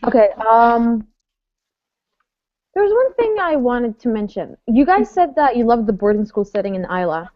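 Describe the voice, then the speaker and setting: A woman's voice: on the high side, very animated, moderate pace. A woman in her early twenties hosting a casual online book club, chatting and reading viewer comments aloud. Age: 20s. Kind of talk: casual livestream chat. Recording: dry dull lo-fi webcam audio.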